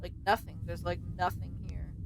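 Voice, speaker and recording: A woman's voice: on the low side, monotone, normal speed, creaky, background noise. A woman streaming a game, giving casual, informal reactions in short exclamations over game ambience. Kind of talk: casual let's-play reactions.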